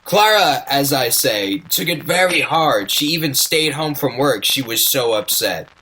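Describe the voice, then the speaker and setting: An actor playing a chatty male narrator of a radio play, halting and drifting folksily off the point. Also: mid-range voice, expressive, bright, forceful tone, poor audio. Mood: chatty, wry. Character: folksy, rambling male storyteller, wistful and chatty.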